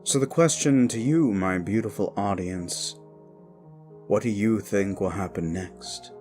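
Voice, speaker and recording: Unhurried man addressing his audience theatrically. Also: lowish voice, a little mellow, faint music behind. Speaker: audio roleplay creator and performer.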